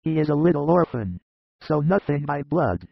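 A man voicing a warm-hearted, plain-spoken male disciple with a fatherly, earnest manner, in an audiobook reading. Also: a little high, big pitch swings, normal speed, gravelly, slightly rough, no echo, lo-fi sound, full character voice. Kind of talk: audiobook reading of a religious narrative.

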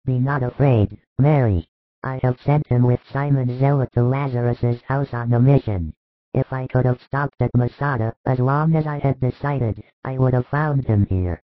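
A calm holy teacher, played by a male storyteller, speaks at a medium pitch with solemn, kindly authority. He is reassuring and measured, as in a religious storybook. The sound is very dry and slightly muffled. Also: no echo, close to an everyday voice. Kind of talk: dramatized scripted religious storytelling. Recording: dull lo-fi recording.

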